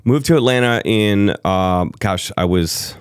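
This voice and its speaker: A man's voice: on the low side, a little slow, clear, resonant tone, dry recording, studio quality. Rambling middle-aged man, East Coast–Midwest accent. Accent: mixed East Coast and Midwest American. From Chicago area, USA. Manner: rambling, self-interrupting, with frequent ums and restarts. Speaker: interview guest. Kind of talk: candid storytelling conversation.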